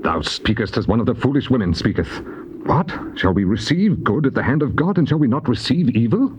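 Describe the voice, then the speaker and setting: Actor as anguished, swooping British patriarch Job. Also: male voice, medium pitch, lively intonation, fairly quick, lightly acted. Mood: reproachful. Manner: declamatory, mournful, impassioned. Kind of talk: dramatized scripture reading, British stage acting. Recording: dull hissy cassette tape.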